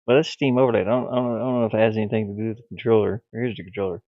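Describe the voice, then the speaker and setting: A man thinking aloud in a halting mutter as he gives casual commentary on his hobby game development. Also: fairly low pitch, steady tone, no room sound. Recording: dull lo-fi audio, faint music. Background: faint music.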